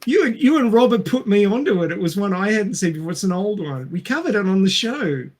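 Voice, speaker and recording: A man with a notably high voice and an Australian accent. He chats casually as a podcast co-host, joking and poking fun at himself. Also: very animated, brisk, a little mellow. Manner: jokey, self-deprecating. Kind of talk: casual chat.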